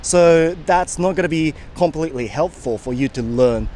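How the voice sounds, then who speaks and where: A man's voice: fairly high pitch, normal speed, slight background noise. A man, interviewing passers-by on a sunny beachfront with a handheld mic. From Japan. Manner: lively, animated questioning. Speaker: street interviewer. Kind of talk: casual street interview. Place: sunny beachfront promenade outdoors.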